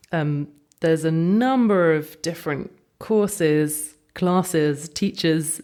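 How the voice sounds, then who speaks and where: A woman co-hosting a casual podcast, speaking clearly and explaining practical details for newcomers. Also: sits low, darkish tone. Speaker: podcast co-host.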